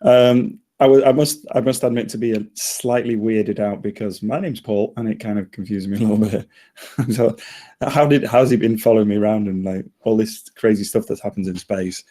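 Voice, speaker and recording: A man's voice: fairly low pitch, steady tone, normal speed, warm tone. A man giving casual feedback in a group discussion, speaking in a hesitant, rambling and polite way. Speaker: script reader giving feedback.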